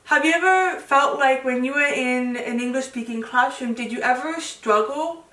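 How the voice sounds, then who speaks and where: A woman's voice: high-pitched, normal speed, fairly bright tone. A young woman presenting and interviewing informally as a student, in a conversational, checking-in way. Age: young adult. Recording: echoey room, distant microphone.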